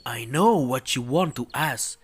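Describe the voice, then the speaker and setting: A man's voice: medium pitch, animated, unhurried, breathy edge. A young man with an Indonesian accent playing a patient, cautionary village guide in an amateur radio drama, speaking stiff scripted English. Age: young adult. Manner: stiff scripted delivery in non-native English.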